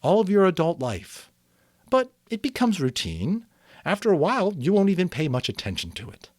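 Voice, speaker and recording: A man voicing a gentle, reassuring mother who explains patiently in a novel reading. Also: high voice, wildly swooping pitch, moderate pace, no room sound, studio quality, voice pushed up, exaggerated voice. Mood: patient, instructing.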